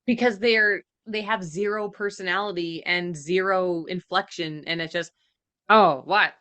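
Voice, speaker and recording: A woman co-hosting a reaction podcast, chatting casually with an animated, blunt, opinionated manner. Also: fairly low pitch, measured pace, no room sound. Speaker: podcast co-host.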